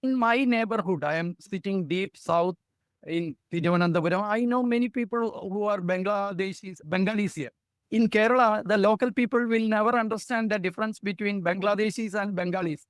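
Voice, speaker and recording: A man's voice: high voice, brisk, clean tone, dry recording. A man with an Indian English accent, speaking earnestly as a guest in a discussion. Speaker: guest journalist. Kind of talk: opinionated discussion.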